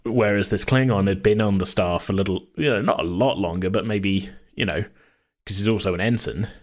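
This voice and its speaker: A man brainstorming casually as a podcast host, thinking aloud in a halting way. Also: fairly low pitch. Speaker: tabletop role-play podcast host and game master.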